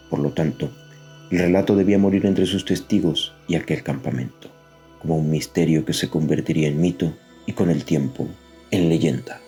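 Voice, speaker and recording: A man's voice: very deep voice, dark tone. A man narrating a scripted dramatic story in a solemn, suspenseful manner.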